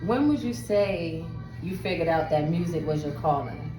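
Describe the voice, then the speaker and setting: Low-voiced woman hosting, roomy sound. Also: lively intonation, moderate pace. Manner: slangy, informal. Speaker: interview host. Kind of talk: casual interview. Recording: roomy, distant mic, loud music behind.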